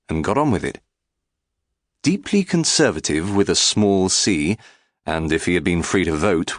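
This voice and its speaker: A man narrates a biography in a fairly low voice. His delivery is measured and formal, with clearly articulated phrases and plenty of movement in pitch. The sound is dry, with no room around it. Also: no echo. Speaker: audiobook narrator.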